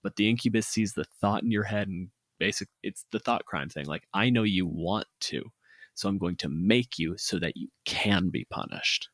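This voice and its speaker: A man with a fairly low voice explains ideas in a casual discussion, recorded very dry. His delivery is blunt and conversational, with swearing mixed in. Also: normal speed, fairly bright tone, dry recording.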